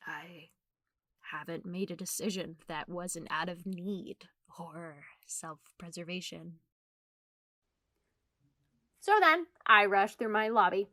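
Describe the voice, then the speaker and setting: Anxious New York woman, bright forceful voice, wry and confessional, radio comedy monologue. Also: medium pitch. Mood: quiet, defeated. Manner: self-deprecating, wry, confessional. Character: anxious single New York woman spiraling into existential crisis. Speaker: lead actor in radio comedy. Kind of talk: comedic audio drama monologue.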